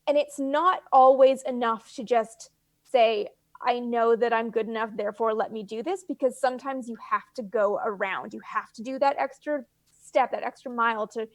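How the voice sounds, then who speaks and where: A Canadian woman with a fairly high voice talks casually as an interview guest. She is animated and emphatic, and the sound is close and dry. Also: normal speed, dry recording. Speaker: guest author.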